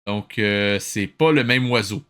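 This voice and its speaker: Un homme à l'accent québécois qui commente de vieux dessins animés de façon décontractée et sarcastique, un peu exaspéré. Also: male voice, fairly low pitch, measured pace, pushed and bright, smooth. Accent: Quebec French. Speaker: host of a web show reviewing old cartoons. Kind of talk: casual comedic commentary.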